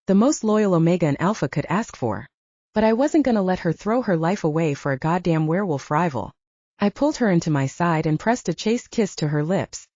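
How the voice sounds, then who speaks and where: A woman's voice: fairly low pitch, warm tone, dry recording, lo-fi sound. A woman narrating scripted first-person fiction as an audiobook narrator.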